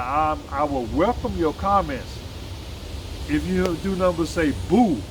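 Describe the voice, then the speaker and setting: A man's voice: a little high, background noise. A man in his sixties from the United States, talking alone in a casual vlog about his own life, rambling and correcting himself, with blunt, emphatic asides. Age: 60s. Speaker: vlogger and musician. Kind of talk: casual solo vlog monologue.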